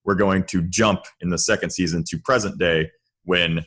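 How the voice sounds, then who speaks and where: Low-pitched man chatting as a guest. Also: fairly even intonation, moderate pace, fairly bright tone. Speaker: podcast guest, comedy writer. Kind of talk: casual interview chat.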